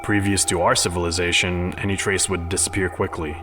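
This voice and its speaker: A male actor plays a rational, challenging advisor in a science fiction audio drama. His voice is deep and his delivery pointed and probing, with faint music underneath. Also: little pitch movement, brisk, close to an everyday voice. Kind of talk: sci-fi audio drama acting.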